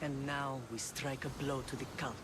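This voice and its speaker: A man's voice: medium pitch, steady tone, normal speed, heavy background noise, lightly acted. An actor voicing a grim, determined male island leader in a video game cutscene, speaking steadily and earnestly. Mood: resolute.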